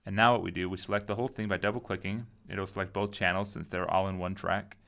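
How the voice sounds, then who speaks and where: A man giving a casual software tutorial, informally thinking aloud as he goes. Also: low voice, level tone, fast, vocal fry. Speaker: tutorial host.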